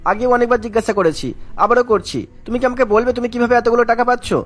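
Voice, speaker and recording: Worried, high-pitched shopkeeper husband. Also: male voice, steady tone, fast talker, soft background music. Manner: questioning, troubled. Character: worried, honest small snack-shop husband, suspicious and modest. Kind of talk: Bengali cartoon voice acting.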